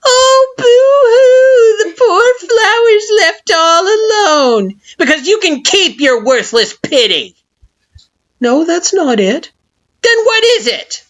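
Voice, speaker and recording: Woman doing a shrill, sneering flower creature. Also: expressive, slow, full character voice. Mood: scornful. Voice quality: high-pitched, derisive. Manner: shrill mocking sing-song, taunting. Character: small spiteful male flower creature, sneering.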